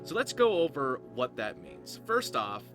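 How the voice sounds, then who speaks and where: A man's voice: mid-range voice, big pitch swings, measured pace. A man hosting a gameplay series with casual, rambling, informal commentary. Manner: informal, rambling, occasionally profane. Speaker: roleplay series creator and host, voice actor. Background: music.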